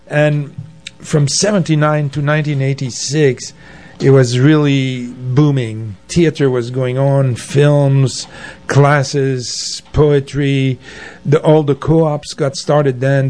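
Mellow older man reminiscing haltingly. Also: medium pitch, flat delivery. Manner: halting, anecdotal. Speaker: interview guest, arts organizer. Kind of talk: conversational reminiscing.

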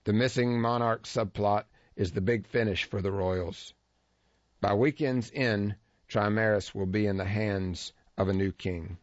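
Low-voiced man reading a wry story. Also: steady tone, measured pace, no echo. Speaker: narrator of a feature story. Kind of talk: scripted first-person narration. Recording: dull lo-fi dry recording.

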